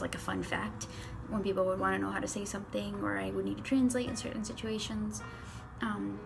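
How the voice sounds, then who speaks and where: A woman's voice: mid-range voice, gravelly. A young woman from Chile in an interview, chatting easily with likes and ums. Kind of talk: casual recorded interview. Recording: music playing underneath.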